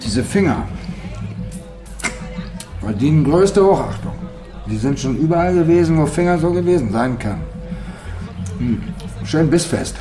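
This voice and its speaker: A crude, domineering hustler in a radio play. His voice is fairly high for a man, with music underneath. He speaks coarsely and with menace. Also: expressive, a little slow, pauses often, warm tone. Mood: leering. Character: crude, domineering male hustler, threatening and lecherous. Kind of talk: theatrical German radio drama acting.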